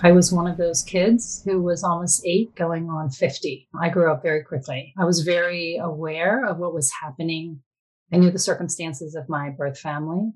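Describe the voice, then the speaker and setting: Low-voiced American woman telling her story. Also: fairly even intonation, moderate pace, a little mellow, poor audio. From born in Korea, raised in the United States. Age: 50s. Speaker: interview guest, Korean adoptee. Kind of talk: reflective personal storytelling.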